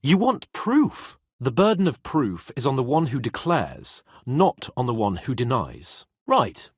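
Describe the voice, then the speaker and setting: An actor playing a proud, hot-headed young nobleman in a video game, with a theatrical, sharp-tongued manner. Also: mid-range voice, very animated, measured pace, breathy edge, dry recording, clean studio sound. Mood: smug, challenging. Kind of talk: dramatic video game voice acting.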